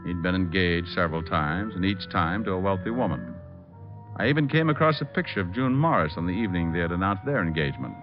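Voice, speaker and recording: Wry, animated low-voiced radio private eye. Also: male voice, fast talker. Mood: wry narrating. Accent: American. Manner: wry, flippant. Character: wisecracking, cocky male private detective. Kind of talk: 1940s radio drama acting and first-person narration. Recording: dull old radio recording with music.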